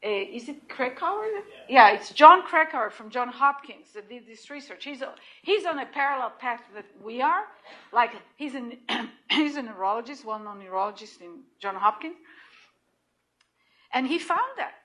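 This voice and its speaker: A woman from Israel with an Israeli accent, giving an animated, emphatic lecture to clinicians and talking over patient videos. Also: a little high, moderate pace, poor audio. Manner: animated, emphatic, repeats key points.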